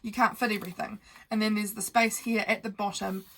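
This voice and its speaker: A woman's voice: mid-range voice, level tone, fairly quick, vocal fry, fairly bright tone, poor audio. A young woman who sounds nasal from a cold, hosting a casual, meandering craft tutorial full of fillers while she works at her desk.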